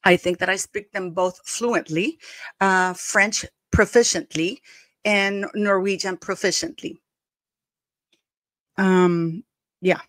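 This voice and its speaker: A woman's voice: medium pitch, fairly even intonation, slow, pauses often, fairly bright tone, no echo. Emphatic woman with a Spanish accent. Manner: emphatic, indignant, repeats words for stress. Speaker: commentary channel host. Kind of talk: opinionated casual commentary.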